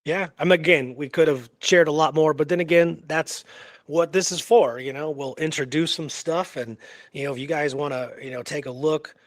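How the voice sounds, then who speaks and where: A man co-hosting a radio show, talking casually and excitedly in an informal, rambling way. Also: on the high side, normal speed, dry recording, studio quality. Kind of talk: casual enthusiastic discussion.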